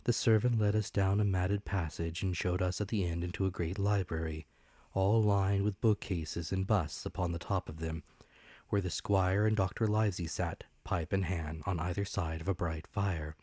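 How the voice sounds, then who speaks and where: Low voiced guy doing dramatic audiobook narration, old adventure tale, really dry close recording. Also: steady tone, normal speed, a little mellow, no echo. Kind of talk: dramatic scripted narration of classic adventure novel.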